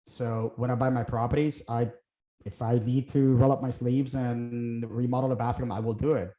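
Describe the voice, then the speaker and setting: A man's voice: mid-range voice, flat delivery, moderate pace, mellow, reverberant, poor audio. A middle-aged man from Puerto Rico, a guest talking casually about his work, thinking aloud with fillers and restarts. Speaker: guest, real estate investor. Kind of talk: casual interview chat.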